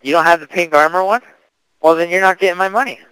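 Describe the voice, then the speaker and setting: A young man speaks casually on a prank call. His voice is clear and dryly recorded, with little room sound. He plays the earnest customer, slangy and full of questions. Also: high voice, fast talker, sharp tone, no room sound, rough recording. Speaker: prank caller.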